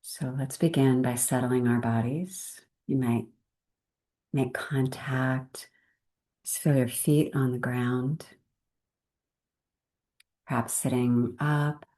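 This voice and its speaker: A woman leading a guided meditation in a gentle, unhurried way, with measured phrases and long pauses. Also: extremely low pitch, fairly even intonation.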